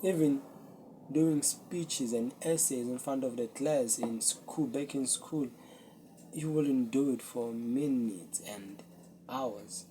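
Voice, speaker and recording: A young man, a Zulu speaker, hosting his own podcast and talking earnestly and reflectively to a camera with many pauses. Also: medium pitch, warm tone, slight background noise, low-quality recording. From South Africa. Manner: slow, earnest, self-searching, with pauses. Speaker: podcast host. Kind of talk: solo reflective talk to camera.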